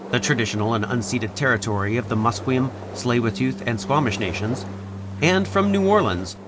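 A man's voice: medium pitch, noisy background. A man reading a scripted show announcement as an announcer, with outdoor ambience behind him.

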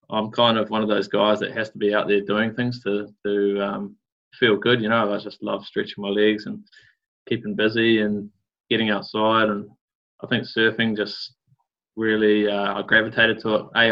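A man's voice: lowish voice, monotone, moderate pace, dull audio. A man from New Zealand with a New Zealand accent, chatting casually as an interview guest in a laid-back, rambling way full of fillers and slang. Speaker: guest, surf business founder.

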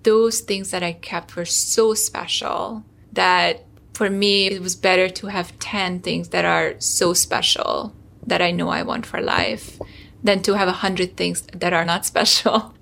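A woman's voice: on the low side, steady tone, unhurried, creaky, a bit bright. A woman from Hungary, a startup founder, telling an earnest personal story as a podcast guest.

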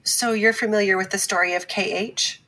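Earnest, husky-edged advocate. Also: female voice, mid-range voice, steady tone, sharp tone, poor audio. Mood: firm, pressing. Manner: measured, deliberate, earnest. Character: earnest, firm female survivor turned advocate pundit. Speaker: talk-show commentator. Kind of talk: scripted fiction podcast acting.

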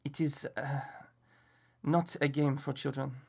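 A man's voice: a little high, fairly quick, fairly bright tone, slightly rough. A man voicing a fussy, aloof male detective who puts on a mock French accent and speaks in precise, formal, haughty phrases. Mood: haughty, dismissive.

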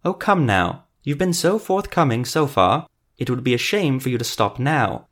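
A man plays a menacing sorcerer for a fantasy audiobook. He is sardonic and mocking, questioning with cool threat in an average-pitched voice. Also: sing-song, normal speed.

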